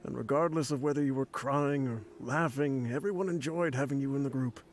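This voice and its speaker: A man's voice: mid-range voice, a little fast, slight background noise, close to an everyday voice. A man playing an ailing old mercenary leader in a video game, wry, boastful and fatherly as he voices a letter. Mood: wry, reflective. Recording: game music behind the voice.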